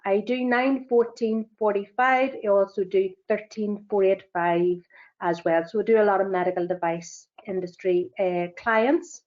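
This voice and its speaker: A middle-aged woman with a Northern Irish accent presenting a business webinar, talking quickly with frequent fillers. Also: mid-range voice, fairly even intonation, a little mellow. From Northern Ireland. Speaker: sustainability assessor and webinar presenter. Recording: dull, lo-fi webinar audio.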